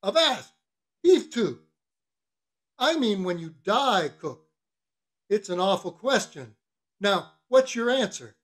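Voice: medium pitch, animated, takes it slowly, a bit bright. A reader voicing a bossy, mocking whaling-ship officer in an audiobook, questioning with teasing mock solemnity. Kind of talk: literary audiobook character reading.

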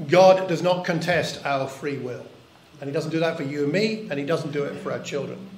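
An older man preaching a sermon in church, earnest and reflective, often asking rhetorical questions. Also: a little high. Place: church hall. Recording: echoey church recording.

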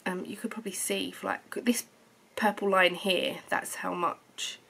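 Home vlogger, thirties, bright, chatty, slightly coldy voice. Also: female voice, medium pitch, flat delivery, measured pace, creaky, husky. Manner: chatty, rambling, lots of ums and restarts. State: slightly hoarse from a cold. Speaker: knitting vlogger. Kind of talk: casual chat to camera. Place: living room at home.

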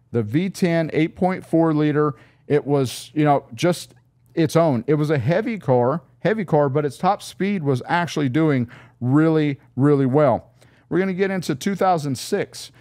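A man's voice: a little high, a little mellow. A middle-aged man hosting a car podcast in casual, rambling chat, often restarting his sentences, recorded on a studio mic in a showroom set. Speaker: podcast host. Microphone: studio mic on a boom arm.

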